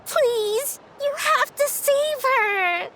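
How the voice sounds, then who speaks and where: Very squeaky, eager little companion. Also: female voice, lively intonation, extremely slow, a bit bright, exaggerated voice. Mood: worried, pleading. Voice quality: squeaky, childlike. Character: tiny, eager, childlike female companion, excitable and caring. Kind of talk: video game voice acting.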